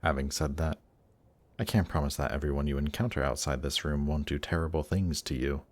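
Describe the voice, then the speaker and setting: Casting: male actor for suave demon prince of Hell, extremely low voice, deliberate and tempting, intimate fantasy audio roleplay. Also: no echo. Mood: confiding, persuasive. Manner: slow, seductive, deliberate, gently coaxing. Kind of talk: intimate audio roleplay, scripted monologue to listener.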